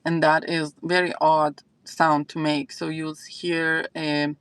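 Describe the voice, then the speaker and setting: Iranian woman rambling on a livestream, lots of likes and ums, gravelly voice, dry close sound. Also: low voice, level tone, slow, no echo. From Iran. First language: Azeri. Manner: rambling, thinking aloud with many fillers. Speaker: fragrance channel host. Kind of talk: casual livestream chat.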